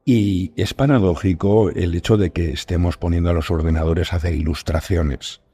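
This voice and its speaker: A man's voice: low voice, steady tone, moderate pace, warm tone, a little hiss or hum. A man with a Spain accent in casual conversation as a guest, explaining things and repeating phrases for emphasis. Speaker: podcast guest, scriptwriter and audio fiction creator.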